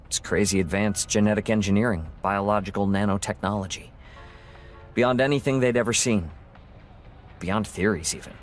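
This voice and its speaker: An actor playing a curious, rambling scientist in a video game, explaining things in a hesitant, musing way. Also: male voice, on the low side, moderate pace, soft background music, close to an everyday voice. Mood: explanatory. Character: male scientist doctor, curious, rambling, awed by alien technology. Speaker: scientist briefing a military team.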